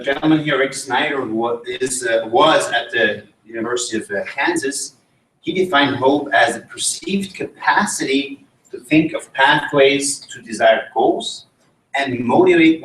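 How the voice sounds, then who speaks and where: A man from Switzerland giving an academic conference talk and putting questions to the audience. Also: mid-range voice. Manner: engaging, asks the audience questions. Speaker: conference presenter. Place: conference room. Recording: roomy, mic at a distance.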